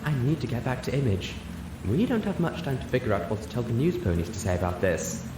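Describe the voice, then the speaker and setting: A man's voice: mid-range voice, sing-song, fast talker, echoey room, background noise, pitched above the performer's own voice, natural voice. Man putting on a genteel, fretful unicorn mare. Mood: worried, desperate. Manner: genteel, fussy. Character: prim, fretful ladylike female unicorn pony.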